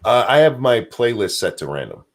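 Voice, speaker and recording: A man with a very deep voice, co-hosting a casual livestream chat, rambling and swearing freely while he hunts for names he has forgotten. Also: big pitch swings, fairly quick. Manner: profane, rambling, trails off searching for names. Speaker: podcast co-host.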